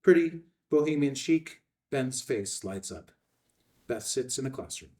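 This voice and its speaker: A man's voice: medium pitch, lively intonation, measured pace, mellow, recorded from a distance. A man reading out stage directions as scripted narration at a film table read.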